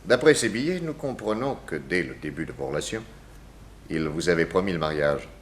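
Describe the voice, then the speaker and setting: Low-voiced, methodical detective. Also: male voice, animated. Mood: probing. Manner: measured, pointed questioning. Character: methodical, probing detective questioning a suspect. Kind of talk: 1960s French radio drama acting.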